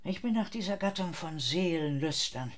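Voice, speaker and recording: An actor playing an ailing, brooding philosopher in an audio drama, witty and fervent, with formal, declamatory phrasing. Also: male voice, fairly high pitch, expressive, moderate pace, close to an everyday voice. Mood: brooding, eager. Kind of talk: German audio drama acting.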